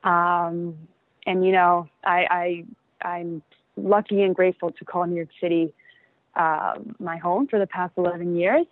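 A young woman in her twenties talks in a slightly low, hesitant voice, full of ums and soft laughs. Her voice comes through a narrow, tinny phone-like line with a dry, close sound. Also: steady tone, a little slow, no echo. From Venezuela. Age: 20s. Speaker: interviewee. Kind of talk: casual interview answers.

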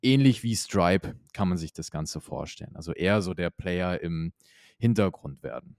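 A young man with a very low voice explains his company fluently and at length, like a startup founder on a business podcast. The recording is dry and close, with no room sound. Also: animated, normal speed, darkish tone, dry recording. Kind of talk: podcast interview answers.

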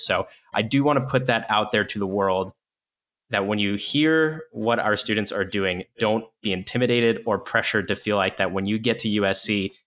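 A man hosting a university podcast, introducing guests and explaining things in a friendly, steady way. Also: fairly low pitch. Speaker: podcast host. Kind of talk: hosted university podcast talk.

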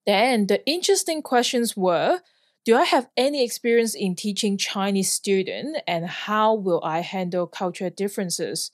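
A woman's voice: medium pitch, lively intonation, moderate pace, no echo, studio quality. A woman in her thirties from Hong Kong, a podcast host telling a personal story in an earnest, plain way. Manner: earnest, plainly worded, occasional light laugh. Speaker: podcast host, flying instructor.

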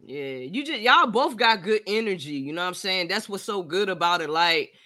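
A man's voice: high voice, lively intonation, normal speed, bright, forceful tone. A man in his late thirties hosting a podcast, chatting casually with laid-back slang. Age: 30s. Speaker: podcast host. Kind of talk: casual chat.

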